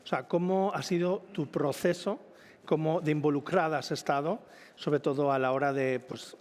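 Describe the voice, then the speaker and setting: Higher-voiced Spanish man interviewing in a hall. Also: fairly even intonation, moderate pace, a little mellow. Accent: Spain. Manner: rambling, enthusiastic, self-correcting. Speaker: event presenter and interviewer. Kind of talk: informal public interview. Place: indoor launch event venue. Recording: reverberant event hall.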